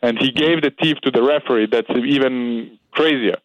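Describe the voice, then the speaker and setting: A young man with an Austrian German accent, a fighter being interviewed, speaking halting English with many "you know"s. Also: medium pitch, dry recording, muffled recording. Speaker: guest fighter. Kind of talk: interview over a call.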